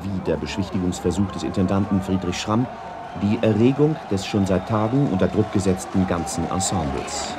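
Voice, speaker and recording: A man reading scripted radio documentary narration in a formal, deliberate manner. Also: low-pitched, over music.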